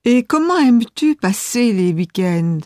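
A woman's voice: on the high side, very animated, a little slow, mellow, no room sound, studio quality. A woman reading a scripted listening exam as interviewer and narrator, enunciating carefully.

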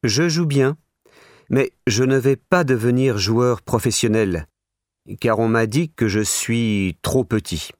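A man's voice: mid-range voice, slow pace, no echo, studio quality, natural voice. A man playing a keen, sporty eighteen-year-old in a scripted listening exercise. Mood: earnest, keen. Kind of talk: scripted listening-exercise monologue, slow and clear.

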